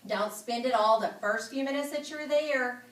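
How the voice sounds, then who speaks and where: A woman's voice: a little high, moderate pace, a bit bright, natural voice. A woman voicing an anxious, fussing parent in a storybook, cautioning children with emphatic repetition. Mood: anxious warning. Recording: echoey room, distant mic.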